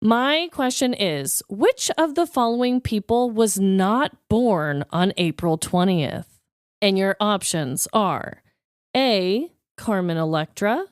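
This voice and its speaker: Woman podcast host just chatting, kinda slow and very up and down, jokey, close dry sound. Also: mid-range voice, clean tone, no echo. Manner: jokey, giggly, freely swearing. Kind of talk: casual comedic chat.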